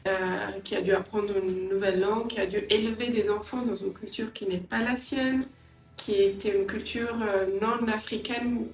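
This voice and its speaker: A middle-aged woman from Angola and England giving a personal interview, reflective and hesitant, often pausing mid-sentence. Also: medium pitch, fairly even intonation. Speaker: interviewee, entrepreneur and language teacher. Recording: roomy echo, distant mic, faint music.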